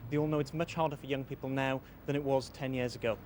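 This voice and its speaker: A man's voice: mid-range voice, steady tone, fast, background noise. A man arguing his case emphatically in a news interview, repeating his points. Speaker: campaign spokesperson interviewee.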